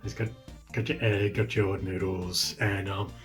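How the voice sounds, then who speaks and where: Low-voiced, relaxed collector man. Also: unhurried, faint music behind. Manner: relaxed, rambling, filler-heavy. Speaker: toy collector. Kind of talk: casual video testimonial.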